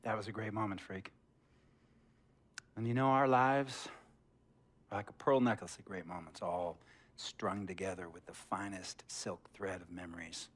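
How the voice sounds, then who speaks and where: An actor playing a wealthy, smooth-talking male tech mogul who tells rambling stories in a game cutscene. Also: on the low side, fairly even intonation, normal speed, pauses often. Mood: chummy. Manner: rambling, theatrical storytelling. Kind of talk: video game cutscene dialogue.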